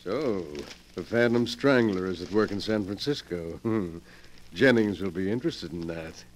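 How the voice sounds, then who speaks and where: An actor playing a sly, wheelchair-bound rich collector of murder relics in a pompous, insinuating 1940s radio drama style. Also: male voice, sits low, moderate pace, slight background noise, close to an everyday voice. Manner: pompous, insinuating, chuckling menace.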